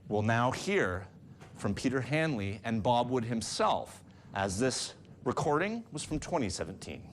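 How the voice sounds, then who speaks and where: A man's voice: mid-range voice, very animated, a little slow. A man working as a news anchor, reading a written tribute aloud. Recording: hiss and ambient room noise.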